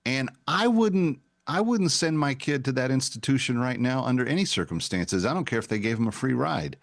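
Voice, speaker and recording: A man hosting a talk radio show, speaking in an emphatic, animated way. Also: medium pitch, brisk, creaky. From United States, far from the East Coast.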